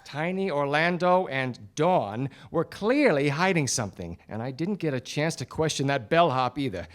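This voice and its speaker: An actor playing a wry, dogged 1940s detective, clipped and hard-boiled, in live radio noir style on a stage. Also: male voice, on the high side, lively intonation, moderate pace, lightly acted. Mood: exasperated, determined. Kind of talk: 1940s radio noir acting and first-person narration. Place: theatre stage before a studio audience.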